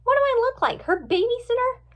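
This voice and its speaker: A woman's voice: very high-pitched, sing-song, brisk, fairly bright tone, voice pushed up, full character voice. A woman voicing a brash, impatient tomboy teenage girl in a read-aloud story, exclaiming boldly. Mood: indignant.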